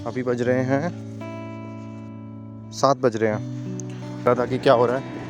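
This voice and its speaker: A man's voice: medium pitch, little pitch movement, a little slow, music in the background. A man from India narrating a travel vlog outdoors on a mountain trail, talking straight to his viewers in a chatty way while filming on a handheld camera. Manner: chatty, talks directly to viewers. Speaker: travel vlogger.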